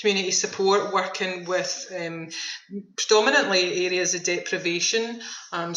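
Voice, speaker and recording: A woman's voice: on the low side, bright, forceful tone. A woman answering interview questions in a chatty, enthusiastic, conversational way. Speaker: veterans' activity centre manager, interview guest. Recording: roomy, echoing sound.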